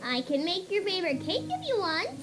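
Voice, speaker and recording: A woman voicing an excitable, bubbly pink female cartoon pony baker in a fan audio drama, with a squeaky voice. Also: a little fast, exaggerated voice. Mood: eager, friendly. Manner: bubbly, eager. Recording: background music under the voice.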